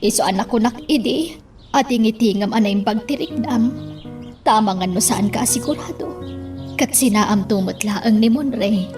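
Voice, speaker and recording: An actress playing an older mother figure who speaks softly and apologetically. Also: fairly high pitch, normal speed, far from the mic, music in the background, natural voice. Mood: apologetic. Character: older mother figure making a regretful confession.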